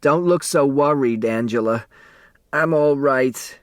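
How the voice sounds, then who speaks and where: A man voicing a proud, injured woman secretary who wants attention, with a moaning, drawn-out manner, in a storybook. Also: mid-range voice, no echo, studio quality, pitched above the performer's own voice, close to an everyday voice. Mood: brave, pained.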